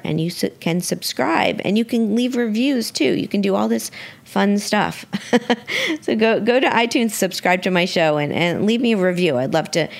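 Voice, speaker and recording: A woman hosting a podcast in a conversational interview style. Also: lowish voice. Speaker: podcast host.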